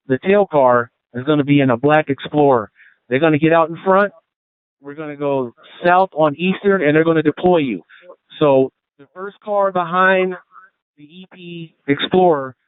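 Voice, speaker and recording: A man's voice: fairly high pitch, clean tone, no echo, phone-quality sound. An American male police officer giving plain-spoken instructions to units over a police radio. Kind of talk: operational radio dispatch talk. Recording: band-limited police radio transmission.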